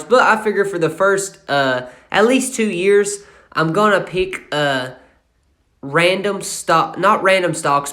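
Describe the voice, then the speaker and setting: A man's voice: fairly high pitch, a bit bright. A man of twenty with an Appalachian accent, hosting a casual finance vlog in a rambling way, with many fillers and self-corrections. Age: 20s. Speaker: channel host.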